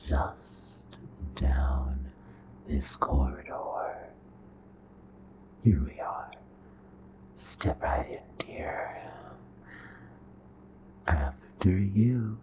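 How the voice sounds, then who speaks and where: A man playing a charming, possessive royal vampire in an intimate ASMR roleplay, with a breathy, hushed, courtly and menacing delivery. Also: full character voice. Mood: suave, inviting. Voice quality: breathy whispering, very low voice.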